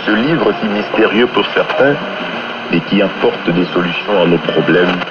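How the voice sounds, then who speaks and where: Male radio presenter with a very low voice, scripted program introduction, muffled under heavy noise. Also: normal speed. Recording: very noisy, muffled shortwave radio broadcast.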